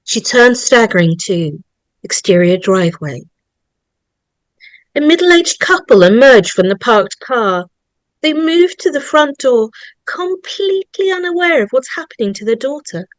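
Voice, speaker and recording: Lady reading horror screenplay stage directions, slow and dramatic, no room echo. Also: fairly high pitch, sing-song. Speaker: narrator.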